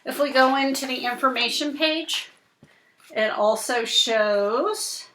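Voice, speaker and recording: A woman's voice: high-pitched, takes it slowly, fairly bright tone, a little hiss or hum. A woman teacher presenting a read-aloud lesson for young children, explaining and addressing them directly with questions. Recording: roomy, echoing indoor sound.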